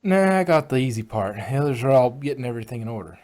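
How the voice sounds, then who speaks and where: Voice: mid-range voice, expressive, fast talker, darkish tone, lightly acted. A performer voicing a friendly, plainspoken female country farm pony with a folksy, reassuring way of talking. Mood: concerned.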